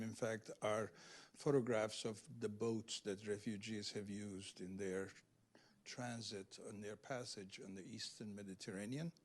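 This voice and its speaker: Slow, halting, scholarly man. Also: fairly low pitch. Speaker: literary translator, event speaker. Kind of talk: unscripted explanation at a reading Q&A.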